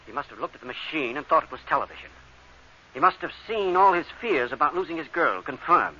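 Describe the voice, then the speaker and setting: A man's voice: a little high, lively intonation, fast, sharp tone. An actor playing an intense spaceship crewman explaining mysteries in a dramatic 1950s radio style. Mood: grave explaining. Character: urgent, explaining spaceship crewman who pieces together mysteries. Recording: dull, slightly noisy vintage radio recording.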